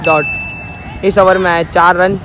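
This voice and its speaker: A man's voice: high-pitched, flat delivery, slow pace. Man calling street cricket, noisy outdoors. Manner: repetitive, formulaic calls of each delivery. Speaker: amateur cricket commentator. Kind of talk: ball-by-ball cricket commentary. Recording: noisy outdoor phone recording.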